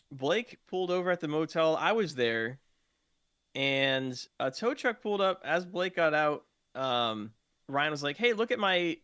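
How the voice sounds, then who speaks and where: A man's voice: on the high side, bright, forceful tone, smooth, no echo, studio quality. A man telling roleplay gaming stories in a casual podcast chat. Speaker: podcast participant, game roleplay streamer.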